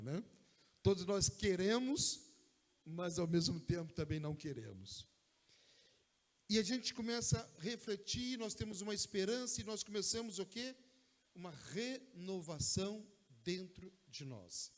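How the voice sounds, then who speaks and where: A man from Brazil with a Brazilian Portuguese accent, preaching a sermon in a conversational way, often tossing in quick asides to check the congregation is with him. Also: high voice. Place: church sanctuary. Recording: long church hall reverb.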